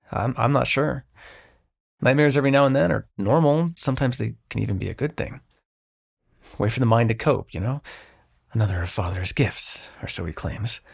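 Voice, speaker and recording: Man as a gravelly, grumpy immortal dream-bringer. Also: medium pitch. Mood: awkward concern. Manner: brusque, exasperated, halting, softening into concern. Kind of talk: ASMR roleplay acting.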